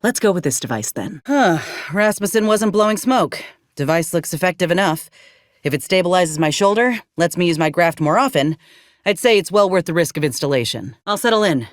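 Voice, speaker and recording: A woman's voice: fairly low pitch, animated, brisk, no echo, studio quality, close to an everyday voice. An actress playing an earnest, determined young woman soldier with folksy rural turns of phrase in a video game. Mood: thoughtful, practical. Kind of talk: video game character dialogue.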